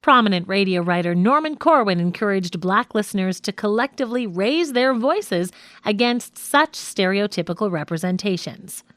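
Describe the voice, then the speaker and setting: A woman narrates a nonfiction audiobook with articulate, measured delivery. Her mid-pitched voice moves with lively intonation through formal prose, in a very dry, close sound. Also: dry recording.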